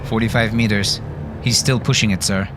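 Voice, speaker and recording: Guy reading as dutiful army driver, brisk clipped callouts, audiobook with music behind. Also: fairly low pitch, steady tone, pitched above the performer's own voice, lightly acted. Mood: focused. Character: focused male military driver, dutiful and brisk.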